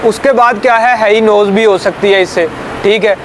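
Young man, high voice, safety trainer, step-by-step explaining, outdoors, faint traffic rumble. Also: steady tone, moderate pace, a bit bright, low-quality recording. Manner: explanatory, checks understanding with "ठीक है" and "समझ आई है?". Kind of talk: hands-on safety demonstration. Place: outdoors beside a building, small group of trainees. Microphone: camera at a few meters.

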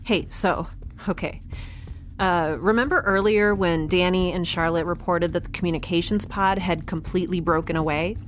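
A woman's voice: fairly low pitch, fairly even intonation, normal speed, slight background noise, lightly acted. A woman playing a wry, curious, stubborn young spaceship dweller in a naturalistic sci-fi audio drama, rambling and hesitant with sarcastic asides. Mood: rambling, thoughtful.

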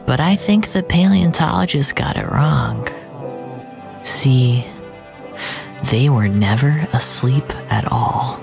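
A narrator delivering a scripted, surreal audio drama in a measured, dramatic way, often sinking into a breathy near-whisper. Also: mid-range voice, music in the background.

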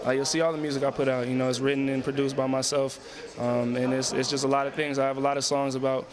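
A man's voice: mid-range voice, level tone, fast. A young man, a college basketball player, answering post-game interview questions politely with lots of "you know" fillers, on a handheld mic in an arena concourse. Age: young adult. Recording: crowd noise behind.

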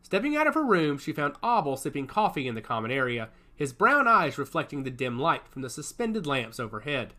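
A man reading a science fiction story aloud as a narrator, shifting his pitch up for the characters' lines. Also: bright, forceful tone.

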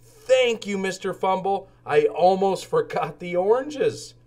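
A man's voice: high voice, expressive, takes it slowly, a little mellow, pitched above the performer's own voice. A man voicing an earnest young boy cat in a children's storybook, polite and eager to help. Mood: pleased, grateful.

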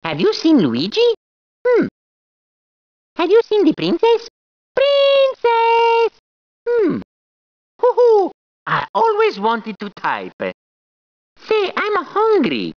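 A man's voice: very high voice, huge pitch swings, slow, pauses often, no room sound, full character voice. A man voicing a cheerful, clowning cartoon plumber in a video game, with a put-on Italian accent, squeals and laughs. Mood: playful. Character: cheerful plumber man, jokey, eager and bouncy. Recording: dull, dry game audio.

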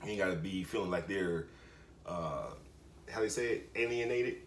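A man's voice: sits low, moderate pace, slight background noise. A man in his thirties co-hosting a reaction video at home, talking casually and rambling with lots of fillers. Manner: laid-back, rambling with many fillers. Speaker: reaction channel co-host. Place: bedroom, indoors. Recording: echoey room, mic far off.

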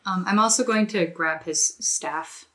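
A woman's voice: on the low side, a little slow, a bit bright. A woman talking casually as a player in a tabletop roleplaying game. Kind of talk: casual chat.

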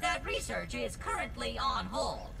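A woman's voice: fairly high pitch, measured pace, gravelly. A woman voicing an eccentric robot lab assistant in a video game, with formal, stilted speech that stutters and glitches. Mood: informative. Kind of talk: scripted video game dialogue. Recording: loud music behind. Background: music.

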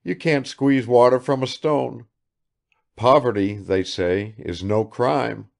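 A man's voice: medium pitch, fairly even intonation, slow pace, vocal fry, voice pushed up. A man reading aloud and voicing a blunt, nonchalant young man with a curt, dismissive manner.

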